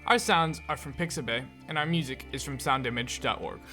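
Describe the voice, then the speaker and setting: A man's voice: mid-range voice, brisk, sharp tone, soft background music, close to an everyday voice. A man playing a put-upon podcast narrator, delivering scripted narration. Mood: announcing. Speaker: narrator reading credits.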